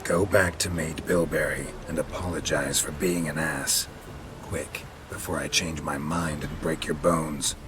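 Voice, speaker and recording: Very deep, deadpan monster hunter. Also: male voice, measured pace, background noise. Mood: dry, unimpressed. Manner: deadpan, laconic, clipped. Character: stoic, terse, battle-hardened monster hunter. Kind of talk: restrained video game acting.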